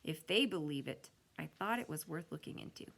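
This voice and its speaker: A woman voicing a cautious, curious schoolkid in a storybook, tentative and low-key. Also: expressive, fairly quick, vocal fry, a bit bright, heavily acted. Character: cautious, curious schoolkid narrator among friends.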